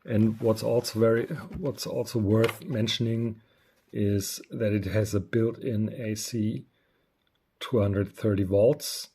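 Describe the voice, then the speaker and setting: A man giving a casual, unscripted product review, speaking haltingly with frequent pauses. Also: on the low side, fairly even intonation, dark tone, slightly rough. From Germany. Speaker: radio reviewer.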